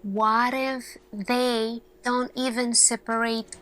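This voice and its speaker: Very slow-speaking woman, earnest scientist roleplay. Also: on the high side, flat delivery, a bit bright. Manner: deliberate, dramatic pauses between short phrases. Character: earnest female lead scientist addressing her team. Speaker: ASMR roleplay performer. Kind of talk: slow ASMR roleplay monologue.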